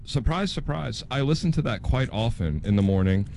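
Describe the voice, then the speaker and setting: A man's voice: mid-range voice, expressive, moderate pace, vocal fry, a little mellow, slight background noise. A young man from northern Kentucky, co-hosting a casual chat, rambling and hedging as he talks. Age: young adult. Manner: rambling, hedging with "like" and "you know". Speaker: co-host, folk music show host.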